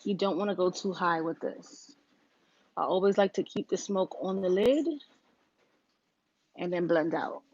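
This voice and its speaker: A woman with a raspy voice, casually talking viewers through a makeup look on a livestream, step by step. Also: fairly low pitch, a little slow, long pauses, darkish tone, no room sound, muffled recording. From Virginia, US. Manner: chatty, talks viewers through each step. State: raspy, deeper voice than usual.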